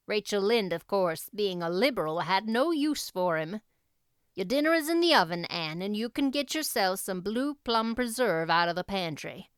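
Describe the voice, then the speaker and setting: A woman voicing a brisk, caring but no-nonsense older farm woman in an audiobook, matter-of-fact and dryly scolding. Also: medium pitch, a bit bright, clean tone, dry recording, clean studio sound. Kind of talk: audiobook character voice.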